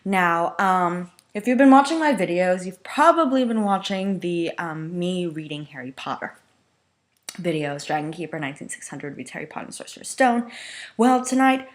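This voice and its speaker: A woman with a low-pitched voice presents an amateur dramatic reading of fan fiction. She talks casually and a little self-consciously, slipping wry remarks in as she goes. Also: animated, normal speed.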